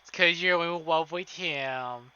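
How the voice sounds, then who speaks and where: A male streamer reading visual novel narration aloud in an expressive, dramatic way, with casual asides. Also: on the high side, slow, clean tone, highs cut off. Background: game music.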